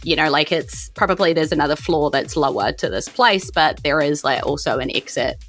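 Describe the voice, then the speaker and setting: A woman with a New Zealand accent narrating a tabletop game as game master in a loose, informal, halting way. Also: sits low, fairly bright tone, soft background music.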